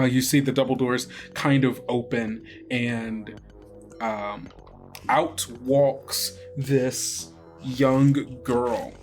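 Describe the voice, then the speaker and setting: A man narrating a tabletop game as game master, in a conversational storytelling style. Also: mid-range voice.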